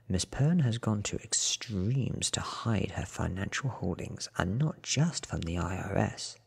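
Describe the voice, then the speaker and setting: Soft, breathy low-voiced man reading. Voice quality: soft, breathy, half-whispered. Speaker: audiobook reader. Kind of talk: soft-spoken ASMR book reading.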